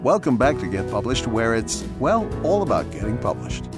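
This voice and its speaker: A man's voice: mid-range voice, very animated, normal speed, music in the background. A man announcing a scripted radio promo.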